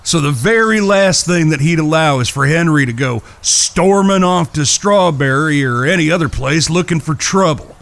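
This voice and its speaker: A man's voice: fairly high pitch, a bit bright. A man with an American accent playing an earnest, polite cowboy peacemaker in a Western audio drama. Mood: reassuring. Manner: polite, measured, folksy. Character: earnest, courteous cowboy, calm peacemaking brother.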